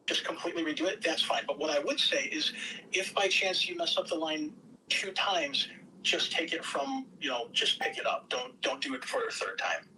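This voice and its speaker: A man's voice: on the high side, lively intonation, moderate pace, bright, forceful tone. A man talking casually as he directs and hosts an online table read, giving instructions and announcements. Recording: distant mic with faint background noise.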